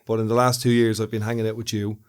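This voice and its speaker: A man's voice: lowish voice, little pitch movement, quick pace, clean tone. A man co-hosting a casual podcast chat in a room, curious and prompting his partner with questions. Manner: curious, asks questions and prompts the other speaker. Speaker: podcast co-host. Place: room, indoors.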